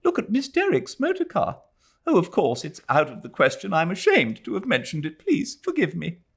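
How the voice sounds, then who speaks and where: A man's voice: high-pitched, wildly swooping pitch, normal speed, vocal fry, fairly bright tone, slightly rough, pitched above the performer's own voice, full character voice. A man voicing an earnest young Englishwoman in a novel, anxious and apologetic, pleading hesitantly.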